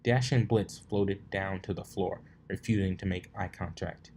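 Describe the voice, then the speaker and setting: Low-voiced man reading a story aloud. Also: steady tone, measured pace, a little mellow, a little hiss or hum. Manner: stumbles and rereads phrases. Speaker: fanfiction reader and narrator. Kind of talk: story narration, read aloud.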